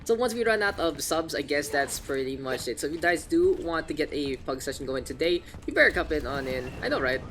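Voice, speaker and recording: A man with a gravelly voice hosting an esports livestream, mixing casual slangy chat and game commentary. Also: a little high, lively intonation, a little fast, hardly any pauses, a bit bright, slight background noise. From Philippines. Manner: informal, gamer slang, joking asides. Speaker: esports caster, streamer hosting the match. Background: video game sounds and announcer.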